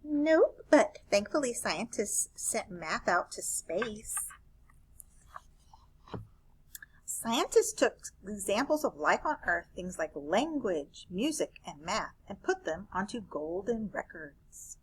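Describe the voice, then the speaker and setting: A woman's voice: mid-range voice, very animated, takes it slowly, hardly any pauses, a little hiss or hum, poor audio. A woman reading a children's picture book aloud, voicing a curious, cheerful space alien who explains things eagerly. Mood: informative.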